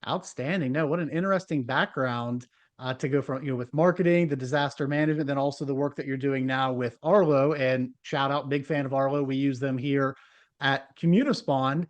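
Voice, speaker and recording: A man's voice: medium pitch, fairly even intonation, a little fast. A man hosting a communication-skills podcast, introducing his guest and asking questions in an upbeat, polished way. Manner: upbeat, polished presenter delivery. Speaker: podcast host. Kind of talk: podcast interview.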